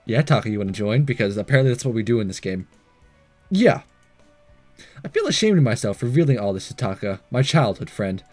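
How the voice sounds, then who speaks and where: A man's voice: medium pitch, big pitch swings, brisk, darkish tone. A man hosting a let's play, reading visual novel dialogue aloud in a playful, theatrical way with joking asides. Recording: game music behind.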